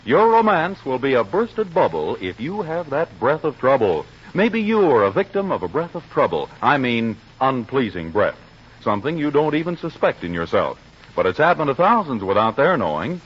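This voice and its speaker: Casting: male 1940s radio announcer, emphatic pitchman, very animated, somewhat husky, dull old broadcast sound. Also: moderate pace. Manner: polished, emphatic salesmanship. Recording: muffled vintage radio broadcast.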